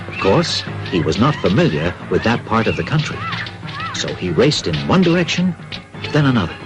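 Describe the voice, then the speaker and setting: A man narrating a classic cartoon fairy tale in an expressive, scripted storybook style. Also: mid-range voice. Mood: dramatic.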